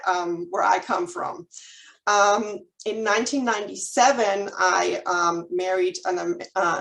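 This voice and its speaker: A woman's voice: fairly low pitch, rough recording. A German-accented woman in her forties, a licensed counselor giving a candid presentation to a live audience, with many ums and restarts. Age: 40s. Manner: candid, frequent ums and restarts, nervous humor. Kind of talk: chamber of commerce presentation.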